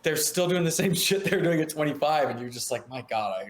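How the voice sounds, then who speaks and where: A man in his late thirties talks quickly and playfully, laughing through his jokes. The voice sits a little high for a man. The sound carries a roomy echo with a faint hiss behind it. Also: lively intonation. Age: late 30s. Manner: fast, laughing, jokey. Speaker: guest author. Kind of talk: casual podcast chat.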